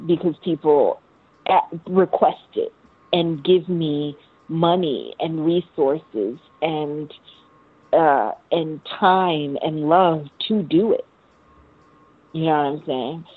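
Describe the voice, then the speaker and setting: An American woman around thirty chatting casually as a musician being interviewed, with an animated, slangy, effusive manner. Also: low-pitched, slow, husky, narrow, tinny audio. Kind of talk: casual chat.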